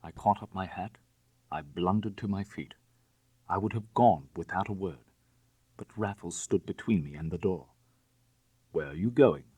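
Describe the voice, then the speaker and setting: A man's voice: deep voice, moderate pace, mellow, breathy edge, dry recording. A man narrating literary fiction in the first person, in a dramatic storytelling style. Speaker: audiobook narrator.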